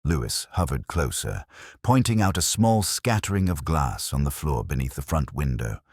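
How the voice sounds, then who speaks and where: A man playing a reflective, melancholy police inspector, narrating a mystery audio drama in a measured, literary way. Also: very deep voice, very animated, darkish tone, breathy edge, dry recording, studio quality, exaggerated voice. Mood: brooding, reflective.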